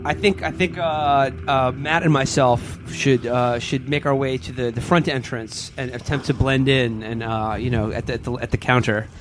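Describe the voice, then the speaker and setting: A man's voice: mid-range voice, steady tone, moderate pace, hardly any pauses, background noise. A man playing a tabletop game, casually proposing plans in a hesitant, repetitive way. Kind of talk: casual planning during a game.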